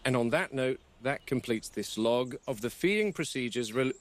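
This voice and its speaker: A man playing a serious game warden at a dinosaur park, recording a formal, measured, explanatory log. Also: mid-range voice, lively intonation, natural voice. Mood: grave, measured. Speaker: animal handler. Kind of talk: scripted video log narration.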